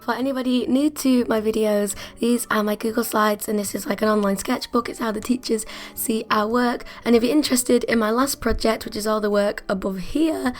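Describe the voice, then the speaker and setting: A young woman with a croaky voice, chatting casually about her art school work. Her voice sits a little high, and she laughs often and pokes fun at herself. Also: fairly even intonation, fairly quick, faint music behind. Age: 20s. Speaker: art student vlogger. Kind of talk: casual vlog narration.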